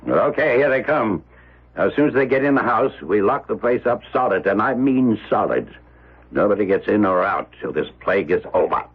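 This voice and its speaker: Man acting a gruff, low-voiced domineering rich patriarch. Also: expressive, moderate pace, a little hiss or hum, natural voice. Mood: bossy. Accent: American. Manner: barking, bossy, blunt. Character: domineering, gruff rich old father, ruthless and brash. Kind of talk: old-time radio drama acting. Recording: muffled vintage radio broadcast recording.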